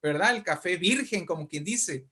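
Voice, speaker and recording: A man's voice: high-pitched, expressive, moderate pace, sharp tone, husky. A man with a Mexican accent, a guest writer chatting about books and culture in a courteous, reflective way. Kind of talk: casual literary discussion.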